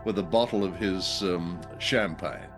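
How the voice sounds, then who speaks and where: A man's voice: low voice, measured pace, music in the background. A man co-hosting a radio show in casual chat, jokey and wry, with a rambling, hesitant delivery. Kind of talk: casual chat about old radio drama.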